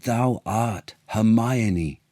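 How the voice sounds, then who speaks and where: A man's voice: lowish voice, dark tone, no echo. Male reader as storybook monarch: full of wonder and feeling, reverent stage diction, lingering on words, dry close sound. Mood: hushed wonder. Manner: theatrical, drawn-out, reverent. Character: emotional, awestruck king from a children's Shakespeare tale.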